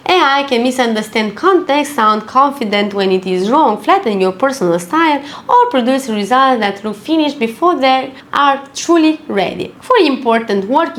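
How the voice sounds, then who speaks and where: Woman, fairly high voice, scripted review narration, measured phrasing.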